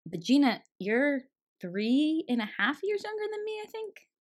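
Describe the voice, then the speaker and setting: A woman's voice: sits high, very animated, normal speed. A woman in her thirties chatting casually as a podcast guest, giggly and full of fillers. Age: 30s. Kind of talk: casual chat.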